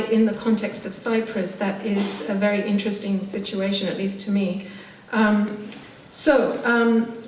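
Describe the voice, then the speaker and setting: A woman's voice: mid-range voice, darkish tone. A woman with an English accent giving a conference panel presentation in articulate, academic phrasing with some hesitation. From Turkish Cypriot immigrant. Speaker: theatre maker speaking on a conference panel. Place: conference room, recorded from a distance. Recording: echoey room, distant mic, background noise.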